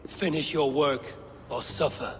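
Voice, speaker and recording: Stern Norse high god, echoing hall. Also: male voice, steady tone, a little slow, vocal fry. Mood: solemn resolve. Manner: authoritative, terse, solemn. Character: stern, commanding male Norse high god, grimly resolute. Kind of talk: video game cutscene acting. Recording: long reverb with music behind.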